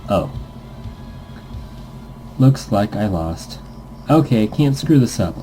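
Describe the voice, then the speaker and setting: A man's voice: fairly low pitch, expressive, slow, warm tone, faint music behind, poor audio. A man hosting a let's-play, reading a visual novel aloud and adding playful, goofy improvised asides in a casual gaming commentary style.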